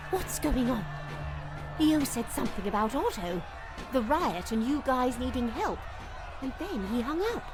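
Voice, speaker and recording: A woman playing a caring, excitable, motherly friend in an audiobook, with a concerned, affectionate manner. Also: high-pitched, unhurried, full character voice, rough recording. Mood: alarmed, puzzled. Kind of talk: audiobook character acting. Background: prominent music.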